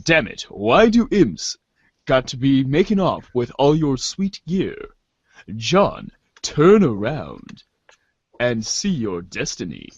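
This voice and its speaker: Muffled man narrating, slow and animated. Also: mid-range voice, husky, no room sound. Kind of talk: dramatic amateur reading of webcomic narration.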